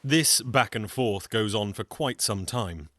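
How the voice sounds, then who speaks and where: A man telling a folktale in a wry, conversational way with deadpan asides. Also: fairly low pitch, unhurried, dry recording. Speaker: storyteller and folk singer. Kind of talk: wry conversational storytelling with song.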